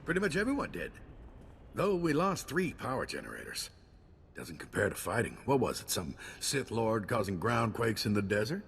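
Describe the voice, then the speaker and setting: Gravelly older man, earnest idealist. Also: on the low side, wildly swooping pitch, normal speed, fairly bright tone, slight background noise, full character voice. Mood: weary, conversational. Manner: earnest, persuasive. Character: gruff, idealistic retired man turned community volunteer. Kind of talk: video game dialogue.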